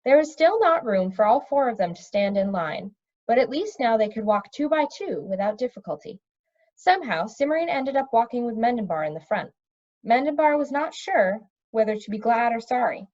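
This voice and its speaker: A woman reading a children's fantasy novel aloud as an expressive storybook narrator. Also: a little high, moderate pace. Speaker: storybook reader. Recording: dull, lo-fi recording.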